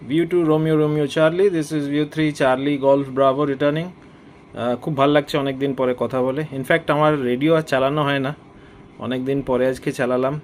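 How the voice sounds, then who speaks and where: A man's voice: medium pitch, steady tone, normal speed, a little hiss or hum. A man with a Bengali Indian accent chatting casually as an amateur radio operator, mixing English phrases and radio procedure into his talk. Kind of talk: casual ham radio conversation.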